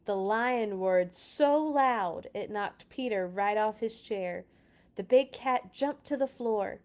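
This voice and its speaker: A woman narrating a children's storybook aloud in an expressive read-along style. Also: medium pitch, takes it slowly.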